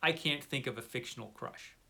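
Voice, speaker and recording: Bright-voiced middle-aged man chatting about books. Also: mid-range voice, fairly quick, gravelly. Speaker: book vlogger. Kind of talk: casual unscripted chat to camera.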